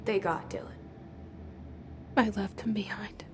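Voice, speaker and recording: A woman's voice: medium pitch, steady tone, measured pace, background noise, close to an everyday voice. A woman playing a guarded, searching young heroine in a video game, restrained and confiding. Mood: inward, tense. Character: guarded, searching young woman hunting her lost brother. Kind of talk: video game cutscene acting.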